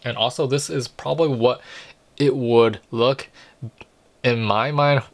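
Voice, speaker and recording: A man's voice: mid-range voice, fairly even intonation, a little slow. A young man in a small room talks to camera about film photography, casually, with lots of fillers and restarts. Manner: rambling, many "like" and "basically" fillers, self-corrections. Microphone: large mic above the frame.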